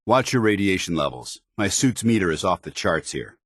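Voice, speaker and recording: An actor playing a stern, earnest, dutiful male soldier in a video game, speaking with formal, measured military diction. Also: low voice, big pitch swings, a bit bright, no echo, clean studio sound, full character voice. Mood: dutiful, helpful. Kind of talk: video game voice acting, companion dialogue.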